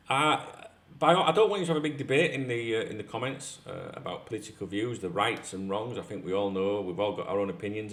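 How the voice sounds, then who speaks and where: Echoey middle-aged Northern English man. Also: mid-range voice. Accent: Northern English, North Yorkshire. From North Yorkshire, England. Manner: blunt, sweary, conversational. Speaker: YouTube host. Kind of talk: casual opinionated talk to camera. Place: large tiled-floor room at home, indoors. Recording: echoey room.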